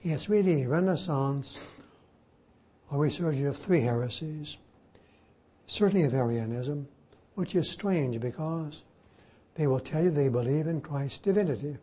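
Slow, husky older priest. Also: male voice, on the high side, mellow. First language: Slovak. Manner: slow, spells words out letter by letter. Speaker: Jesuit priest and teacher. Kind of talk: informal lecture and Q&A.

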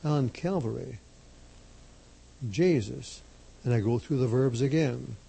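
Slow, gravelly-voiced priest preaching. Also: male voice, mid-range voice, mellow, poor audio. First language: Slovak. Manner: emphatic, measured, repeats key phrases. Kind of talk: slow deliberate sermon.

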